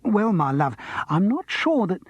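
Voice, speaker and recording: A man's voice: sits high, sing-song, unhurried, breathy edge, dry recording, highs cut off, pitched above the performer's own voice, exaggerated voice. A man voicing an eager, fussy theatre pianist who presses to be remembered, in a storybook reading.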